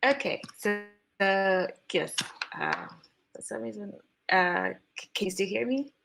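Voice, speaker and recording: A woman's voice: medium pitch, expressive, a little slow, gravelly, noisy background. A young woman in her twenties from Zambia, talking casually on a video call as a guest, with many fillers and restarts. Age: 20s. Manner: chatty, many fillers and restarts, laughs often. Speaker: guest software engineer.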